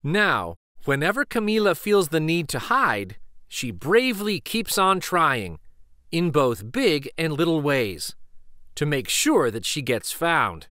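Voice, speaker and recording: Animated, unhurried man reading a storybook. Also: a little high, no echo, clean studio sound. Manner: expressive, deliberate. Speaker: storybook reader. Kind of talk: scripted children's story read-aloud.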